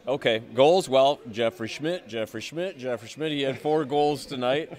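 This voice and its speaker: A man's voice: mid-range voice, normal speed, darkish tone. A middle-aged male sports broadcaster reading a game recap from notes at an indoor ice rink, into a handheld mic. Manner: reads out the scoring summary from notes. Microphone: handheld microphone held by co-host.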